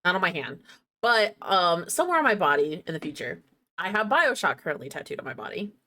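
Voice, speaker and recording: Animated woman livestreamer chatting casually. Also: lowish voice, a little fast. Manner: giggly, playful, chatting to herself and viewers. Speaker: game streamer, host. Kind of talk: casual livestream chat with viewers.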